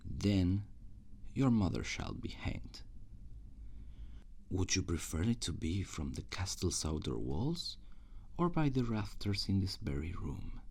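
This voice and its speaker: A menacing conqueror prince, acted by a man, with a very low voice full of gravelly fry. He speaks slowly and formally, as in a dark fantasy audio drama, with courtly cruelty and breathy drops toward a whisper. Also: big pitch swings, heavily acted. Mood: coldly threatening. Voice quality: husky, gravelly. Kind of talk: ASMR roleplay, theatrical monologue.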